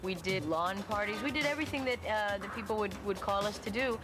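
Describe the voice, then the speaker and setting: A woman's voice: mid-range voice, brisk, music in the background. Chatty woman telling stories in an interview. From Cuba. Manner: chatty, acts out remembered dialogue. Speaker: interviewee, musician. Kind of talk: interview storytelling.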